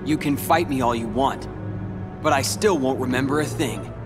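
A man's voice: medium pitch, normal speed, over music, close to an everyday voice. A young man playing a cold, bitter teenage rival in a video game cutscene, speaking in a taunting manner.

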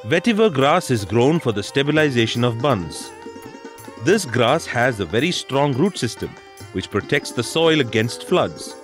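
Man narrating with lively pitch over music. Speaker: documentary narrator. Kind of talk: scripted narration, instructional. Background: background music.

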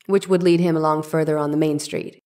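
A woman playing a formal, deliberate trial lawyer in a dramatic reading of an old court case. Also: low voice, fairly even intonation, a little mellow. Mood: probing, formal. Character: formal, probing trial lawyer cross-examining a witness.

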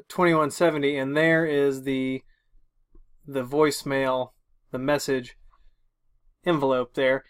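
A man's voice: on the high side, a bit bright. A middle-aged man giving an informal tech walkthrough, talking through each step as he goes. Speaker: tech tutorial host. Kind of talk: casual instructional walkthrough. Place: small room with tech equipment, indoors.

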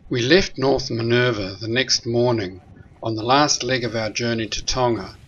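A man narrating a sailing trip in a calm, measured, matter-of-fact voice-over. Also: mid-range voice, lo-fi sound, slight background noise. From New Zealand. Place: recorded separately from the pictured scenes. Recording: voice-over with loud background music.

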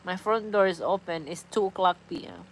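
A woman in her forties with a Filipino accent, chatting casually as a livestream host in simple, halting, repetitive phrases. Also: fairly low pitch, a little hiss or hum. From Philippines. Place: small indoor room on a couch at home. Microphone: phone at arm's length.

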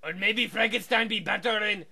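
Eager, simple-minded monster for improv comedy; strained, very sharp tone with heavy gravel, broken grammar. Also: male voice, high-pitched, normal speed, heavily acted. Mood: eager, clowning. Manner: broken third-person caveman grammar. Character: dim, eager male Frankenstein monster wanting acting work. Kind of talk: improvised comedy character bit.

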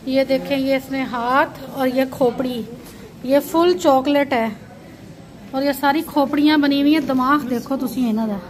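A woman's voice: high voice, steady tone, moderate pace, a crowd in the background. A woman from Pakistan, narrating a casual walking tour as a vlogger and pointing things out as she goes, recorded on a handheld phone. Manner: pointing things out, repeating "look at this". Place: chocolate shop and busy shopping street.

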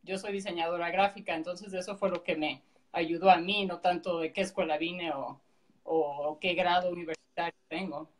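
A woman with a Mexican accent explaining things casually and in a measured way as a guest on a video call. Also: fairly low pitch, fairly even intonation.

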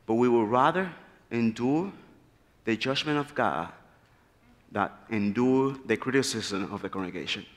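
A man in his thirties from the Republic, preaching an earnest, animated sermon at a church pulpit. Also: medium pitch, unhurried, pauses often. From Dominican Republic. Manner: animated, gesturing freely, earnest storytelling. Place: large church sanctuary, at a pulpit. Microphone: pulpit mic. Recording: long church hall reverb.

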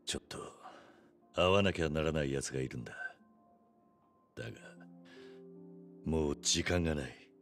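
Stoic gangster, extremely low voice, terse and blunt, video game drama, faint music behind. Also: male voice, moderate pace, long pauses. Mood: stoic, serious. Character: stoic, gruff yakuza man, reserved and courteous. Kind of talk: Japanese video game cutscene acting.

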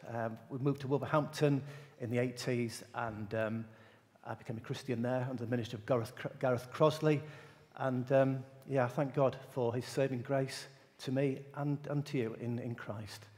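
A man's voice: mid-range voice, fairly even intonation, normal speed, hardly any pauses, darkish tone. A middle-aged man with a Yorkshire accent, a visiting preacher introducing himself hesitantly with many fillers. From Wales, raised in Yorkshire. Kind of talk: casual self-introduction to a congregation. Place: church sanctuary. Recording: long reverb of a church hall.

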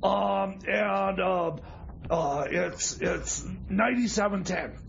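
A man plays a flustered, bumbling sidekick on a comedy radio show. His high-pitched voice stammers and halts through loose banter. The recording is dull, with clear background noise. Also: full character voice. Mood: flustered, halting. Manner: halting, stammering.

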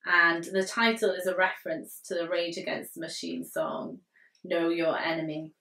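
A young woman poet reading her poetry aloud with measured, deliberate phrasing, recorded indoors in a living room. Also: on the low side, lively intonation, sharp tone. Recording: roomy living-room echo, distant mic.

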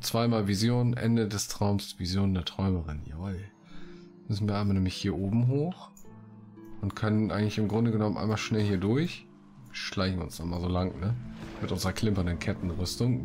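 A man's voice: on the low side, a little mellow. A man doing casual let's play commentary, chatty and colloquial, often breaking off into exclamations. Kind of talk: casual gaming commentary, reading quests aloud. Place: room at home. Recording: clear background noise, typing.

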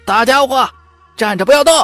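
A man voicing a greedy, cackling skinny bandit warrior who taunts his prey in a high, shrill voice. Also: brisk, pushed and bright, no room sound, soft background music. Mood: gloating.

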